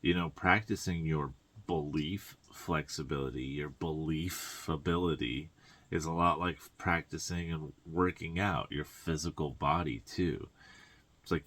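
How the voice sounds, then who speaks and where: A man with a very deep voice talks informally as a spiritual guide and tarot reader. His delivery is a reflective coaching monologue, halting in its phrasing and sprinkled with colloquial asides. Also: fairly bright tone.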